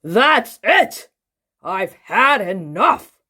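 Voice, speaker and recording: A woman voicing a rude, short-tempered man from a children's storybook, loud and exasperated. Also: medium pitch, very animated, very slow, husky, full character voice. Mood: exasperated, angry.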